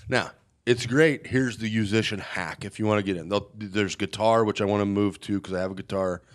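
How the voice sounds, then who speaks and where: A man telling a rambling, animated story in casual chat, with a somewhat nasal voice. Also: fairly low pitch, fairly quick.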